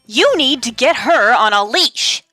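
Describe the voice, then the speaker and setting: A woman voicing a stubborn, prideful male dark deity in an audio drama, gruff and snappish. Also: on the high side, lively intonation, measured pace, dry recording, faint music behind, voice pushed up, heavily acted. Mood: snapping. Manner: snappish, grumbling, brutish.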